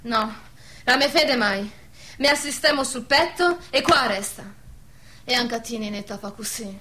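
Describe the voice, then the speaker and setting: A woman's voice: a little high, measured pace, bright, forceful tone. A woman playing a defiant, sceptical village woman in a wartime radio drama, speaking bluntly and emphatically with a southern Lazio dialect accent. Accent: central Italian, southern Lazio dialect. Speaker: radio play actress.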